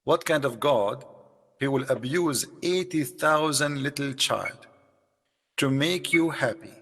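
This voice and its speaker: A man's voice: medium pitch, slow pace, creaky, fairly bright tone, husky, in a large hall. A man whose first language is Arabic, hosting a debate and pressing his opponent with mocking questions. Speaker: debate host. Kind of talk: live debate call.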